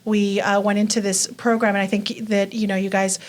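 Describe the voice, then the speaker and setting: A woman's voice: mid-range voice, little pitch movement, brisk. Chatty woman guest telling her story. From Mexico. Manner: chatty, lots of fillers like "you know" and "like". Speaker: interview guest. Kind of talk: casual storytelling.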